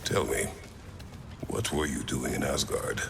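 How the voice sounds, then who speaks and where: A battle-worn warrior father with an extremely low, heavily gravelled voice that has a hard, forceful edge. He speaks in terse, formal, grave sentences, stern and protective, as in a dramatic fantasy game. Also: animated, measured pace, long reverb tail, slight background noise, heavily acted. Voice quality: gravelly, deep. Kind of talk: dramatic video game cutscene acting.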